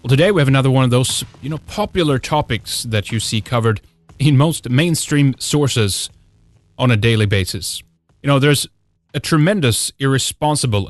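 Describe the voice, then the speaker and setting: A man's voice: mid-range voice, no echo, studio quality. A man from Sweden with a Swedish accent, hosting a talk radio show. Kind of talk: talk radio monologue and guest introduction.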